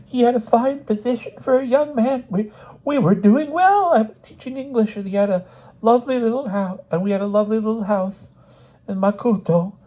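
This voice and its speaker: A frail-hearted elderly woman from an audiobook novel, played by a man, pitched very high. She is tearful, frightened and pleading as she speaks. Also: fairly quick, warm tone, muffled recording. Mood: tearful confession.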